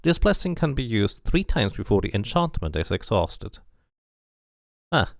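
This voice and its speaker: Very deep-voiced man with a creaky edge. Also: very animated, measured pace, no room sound, studio quality. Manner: thinking aloud, musing. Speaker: streamer playing and narrating a game. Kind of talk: casual game commentary mixed with reading game text aloud.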